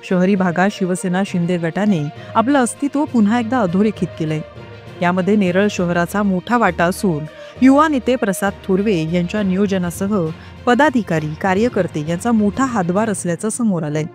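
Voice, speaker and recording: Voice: mid-range voice, moderate pace, a little mellow. Scripted news narrator over music.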